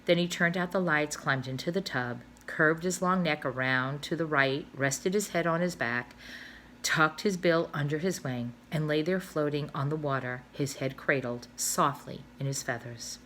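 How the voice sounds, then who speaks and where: Lowish woman reading a story aloud. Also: normal speed, slight background noise. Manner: expressive read-aloud with asides and self-corrections. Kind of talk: reading a children's novel aloud.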